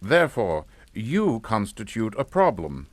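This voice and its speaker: Posh controlling english dad in a radio thriller, deepish, formal, quietly threatening, very dry sound. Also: male voice, wildly swooping pitch, dry recording, exaggerated voice. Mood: calm menace. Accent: British. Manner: clipped, formal, deliberate. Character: cold, controlling upper-class English father, politely menacing. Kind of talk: radio suspense drama acting.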